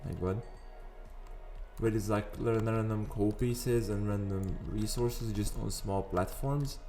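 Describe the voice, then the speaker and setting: Man, raspy morning voice, lowish pitch, rambling gameplay chatter, faint music underneath. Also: fairly even intonation, slow pace, dark tone. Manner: chatty, rambling, wanders into sidetracks. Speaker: gaming video host. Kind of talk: casual gameplay commentary. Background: faint background music.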